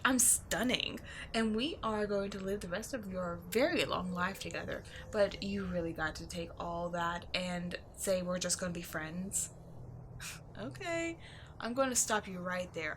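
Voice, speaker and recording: A woman voicing a giant female sphinx, a flirty, teasing and excitable temple guardian, in a playful, expressive audio roleplay. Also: mid-range voice, a little hiss or hum. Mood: teasing, giddy. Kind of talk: roleplay audio acting addressed to the listener.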